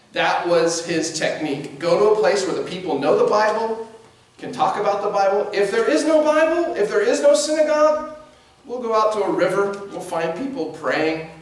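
A man in his forties preaches from the pulpit in a relaxed, conversational way, gesturing as he talks. His voice sits fairly high for a man. It is picked up from across a church sanctuary, heavy with echo. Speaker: pastor. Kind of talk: sermon. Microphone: distant camera mic. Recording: very echoey church from a distance.

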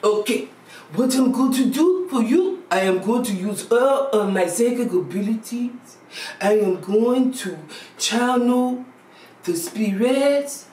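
A woman's voice: a little high, animated, slow, a little mellow. A woman playing a brash, money-hungry Haitian TV psychic who sells her hotline in a bossy, theatrical, insistent way. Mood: mystical, businesslike. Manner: theatrical, bossy, insistent, repeats phrases for emphasis. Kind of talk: comedy skit of infomercial and call-in psychic show. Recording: echoey room, distant mic, music behind.

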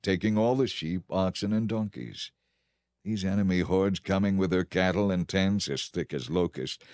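A man reading as an audiobook narrator in a dramatized, solemn and measured scripted Bible narration. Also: sits low, a little mellow, no echo, clean studio sound.

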